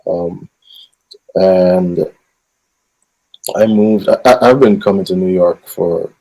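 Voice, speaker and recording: A man's voice: low-pitched, slow, vocal fry, warm tone, low-quality recording. A man with a Guyanese accent, a gospel singer in his thirties, talking about his life in an interview with lots of fillers. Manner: rambling with many fillers. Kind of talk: casual interview answers.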